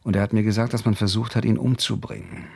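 An actor playing a secretive, curious man who investigates by telephone in a German radio crime drama, with halting, evasive answers. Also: lowish voice, steady tone, gravelly, darkish tone, slightly rough. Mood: evasive, insistent.

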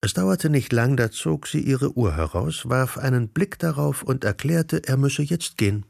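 A man as the composed narrator of a German radio drama, telling a literary story in a measured way. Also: medium pitch, lively intonation, creaky, a little mellow, no echo, studio quality, heavily acted.

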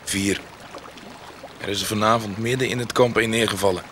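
Casting: male actor as stubborn army officer, low-pitched, terse and protective, radio play with faint watery noise. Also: fairly even intonation, normal speed, a bit bright, close to an everyday voice. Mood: blunt. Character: gruff regimental officer, protective of his men. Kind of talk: radio drama dialogue.

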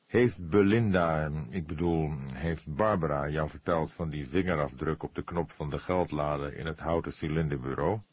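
A man's voice: extremely low pitch, slightly rough, pitched below the performer's own voice. Een man die in een luisterboek een vermoeide politierechercheur speelt, die afgemeten, gelaten en vorsend vragen stelt. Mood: weary, questioning. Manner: measured, terse, resigned questioning. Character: weary, probing older male police detective. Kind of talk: audiobook character dialogue.

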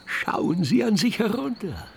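A man playing a dignified, dry but kindly old owl with a creaky, formal, drawn-out delivery and hoots. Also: high voice, big pitch swings, a little hiss or hum, exaggerated voice. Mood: explaining kindly. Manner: formal, drawn-out, hooting interjections. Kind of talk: radio play acting.

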